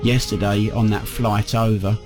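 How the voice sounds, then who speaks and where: A man's voice: fairly low pitch, flat delivery, measured pace. A man giving conversational interview answers as the leader of a band. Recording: music playing under the voice.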